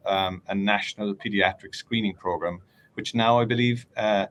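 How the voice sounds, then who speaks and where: Male panel moderator, lowish voice, faint rumble behind. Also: fairly bright tone. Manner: inviting, restarts when asking.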